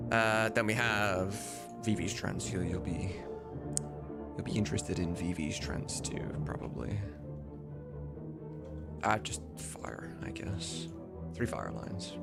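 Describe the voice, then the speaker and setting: A man's voice: low voice, creaky. A man hosting a casual livestream as a mod developer, rambling, blunt and sweary as he thinks aloud. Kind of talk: casual livestream chat while demonstrating.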